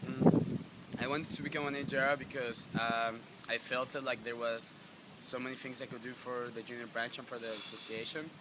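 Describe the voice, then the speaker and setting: A young man with a Mexican accent giving casual interview answers. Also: medium pitch. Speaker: youth organization representative. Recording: clear ambient background noise.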